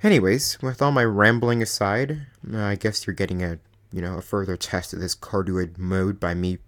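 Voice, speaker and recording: Deep-voiced young guy rambling thru a mic review on his channel, lots of ums, kinda self-deprecating. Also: steady tone, moderate pace. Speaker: channel host reviewing a microphone. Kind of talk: casual rambling product review. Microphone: desk USB microphone.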